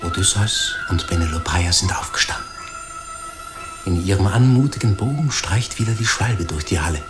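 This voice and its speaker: A man narrating a radio play from a script in a solemn, deliberate, suspenseful manner. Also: sits low, animated, over music.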